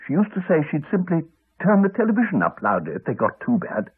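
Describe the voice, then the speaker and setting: A haunted man in an eighties radio play speaks through a narrow, phone-like recording. His voice is very husky, and his pitch moves a great deal as he haltingly confides, trailing off into pauses. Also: huge pitch swings, heavily acted. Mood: uneasy, reflective. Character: Alex, haunted man haltingly recounting a horror. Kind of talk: 1980s radio drama acting.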